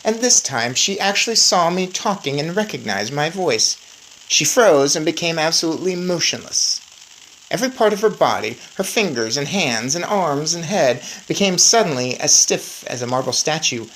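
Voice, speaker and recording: A woman reading a children's story aloud in an expressive narrating style. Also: low voice, moderate pace, a little hiss or hum, low-quality recording. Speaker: story reader.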